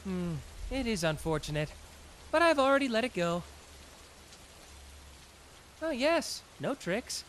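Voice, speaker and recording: A man playing a confident, smug young male detective genius in a scripted anime audio roleplay, teasing and self-assured with dramatic pauses. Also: very high-pitched, sing-song, fairly bright tone, slight background noise, heavily acted.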